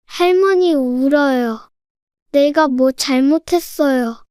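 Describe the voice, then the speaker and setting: A timid little grandchild. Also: female voice, high-pitched, takes it slowly, a little mellow, dry recording, clean studio sound. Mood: worried. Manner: small, hesitant childlike speech. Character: young grandchild, innocent and worried.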